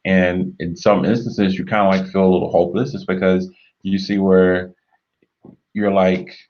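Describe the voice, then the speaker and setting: A man co-hosting a marriage talk show, speaking in a measured, reflective way and often murmuring agreement. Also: low voice, little pitch movement, highs cut off. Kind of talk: earnest conversational discussion.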